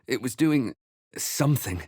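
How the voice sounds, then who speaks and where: A composed, wry male adventurer from a fantasy video game, heard close and dry. His voice sits at a middling male pitch. He speaks in measured, literary phrases with a theatrical weight. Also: lively intonation, a little mellow, dry recording. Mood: grave, hushed narration. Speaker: video game player-character voice actor. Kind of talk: dramatic fantasy game voice lines and narration.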